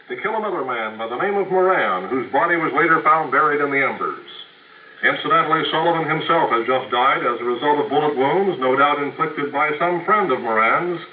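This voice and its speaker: An actor playing a formal 1950s radio newsreader, delivering a bulletin in declarative announcer style. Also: male voice, a little high, expressive, brisk, natural voice. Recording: tinny, noisy old radio broadcast.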